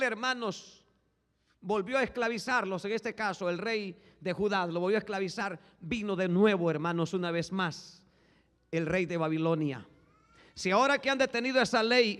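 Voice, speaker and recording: High-voiced man preaching in an echoing hall. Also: clear, resonant tone. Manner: impassioned preaching, swinging from hushed to shouting; repeats "hermanos" as a constant refrain. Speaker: pastor. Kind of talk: Spanish-language sermon. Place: church sanctuary. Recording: long echo of a large hall.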